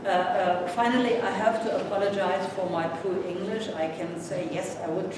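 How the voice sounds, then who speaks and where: A woman in her sixties with a German accent, a native German speaker, giving a seminar lecture as a guest professor in a halting, courteous, self-deprecating way. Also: medium pitch, steady tone. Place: indoor seminar room. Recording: echoey room from far off, noisy.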